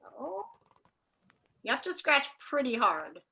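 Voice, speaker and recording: A woman's voice: sits high, very animated, takes it slowly, creaky, sharp tone. A woman teacher talking and reading aloud to an online class, enthusiastic and encouraging. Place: indoors, on a video call.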